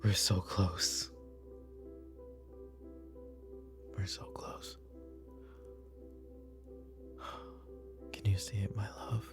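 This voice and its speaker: A man playing a weary, short-tempered mafia boss father in a roleplay audio drama, with a gravelly, husky voice and a commanding, curt manner. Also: deep voice. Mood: tired, muttering. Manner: commanding, curt, slipping into hushed muttering. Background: background music.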